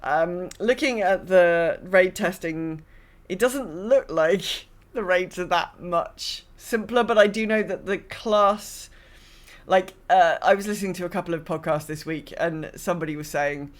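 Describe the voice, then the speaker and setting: A man's voice: sits high, a little slow. A man talking casually as a guest on a gaming podcast.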